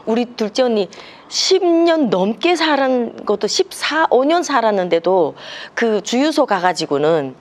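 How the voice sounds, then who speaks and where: A woman's voice: on the high side, sing-song, a little slow, hardly any pauses. A woman with a North Korean accent, speaking as a panelist and telling personal stories with bits of acted dialogue. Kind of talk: panel discussion.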